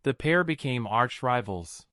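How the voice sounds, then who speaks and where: A man's voice: medium pitch, lively intonation, measured pace, bright, forceful tone, no room sound, clean studio sound. A man reading a scripted practice passage aloud as narration. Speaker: exam practice reader.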